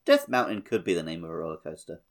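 A man's voice: deep voice, fast talker. A man co-hosting a gaming podcast in casual, joking conversation. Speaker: podcast co-host.

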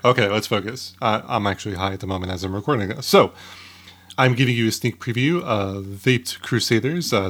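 Man, lowish pitch, podcast host, informal, rambling, self-interrupting. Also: fairly bright tone. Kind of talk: casual podcast talk.

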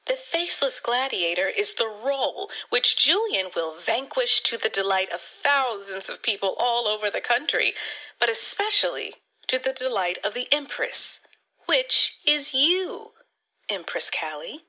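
Woman putting on a high pushy female agent. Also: animated, a little slow, bright, forceful tone, heavily acted, rough recording. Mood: coaxing. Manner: insistent, incredulous, condescending.

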